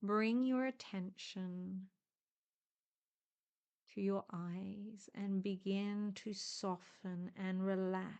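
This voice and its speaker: A woman guides a meditation in a very dark, mellow voice. She speaks extremely slowly, leaving long pauses between short phrases. Her tone stays even and hushed, gentle and soothing throughout. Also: mid-range voice.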